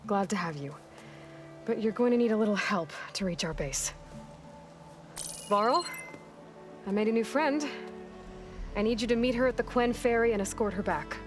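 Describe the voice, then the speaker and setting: A woman's voice: mid-range voice, moderate pace, long pauses, lightly acted. A woman acting a resolute, blunt young hunter in a video game, speaking directly and earnestly. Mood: friendly, brisk. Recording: music behind the voice.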